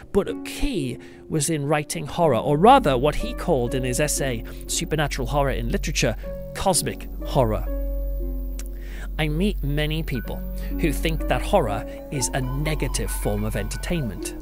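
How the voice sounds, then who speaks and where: A man hosting a podcast in a reflective, semi-scripted monologue, earnest and thoughtful, with emphatic, dramatic phrasing. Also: a little high. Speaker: podcast host, church pastor. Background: background music.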